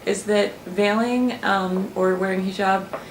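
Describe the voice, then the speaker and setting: A woman's voice: mid-range voice, steady tone, measured pace, a little hiss or hum. A young woman explaining her research in an interview, talking thoughtfully with many fillers and restarts. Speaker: student researcher. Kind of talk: interview talk. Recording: roomy and a little distant, faint ambience.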